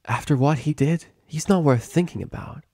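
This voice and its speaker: A man's voice: on the high side, moderate pace, warm tone, no echo, studio quality, voice pushed up, close to an everyday voice. Guy doing a cocky tomboy girl pony, casual and loyal, bit raised voice, story reading. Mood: calm, firm. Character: brash, loyal young female cartoon pony, tomboyish and caring.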